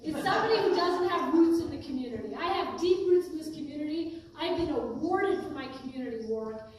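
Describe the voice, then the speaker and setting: Woman candidate fielding town-hall questions, higher pitch, earnest and firm; distant mic, roomy echo. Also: expressive, brisk, a crowd in the background. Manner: earnest, conversational, emphatic when defending herself. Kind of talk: answering audience questions at a political town hall. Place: community town hall meeting room. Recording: echoey hall, voice from far off, noisy.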